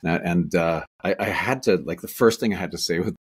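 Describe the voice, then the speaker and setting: A man's voice: low-pitched, brisk, breathy edge. A middle-aged man, an actor, telling rambling stories over a video call in a small room at home. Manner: rambling storyteller, many restarts and asides. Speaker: actor and guest. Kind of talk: casual interview chat. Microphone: webcam on video call.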